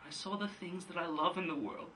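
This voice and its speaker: A man's voice: sits high, lively intonation, normal speed, pushed and bright, a little hiss or hum, exaggerated voice. A man performing a theatrical monologue as an anguished, defiant grown son confronting his father, in an impassioned delivery. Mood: quiet, searching. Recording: distant microphone.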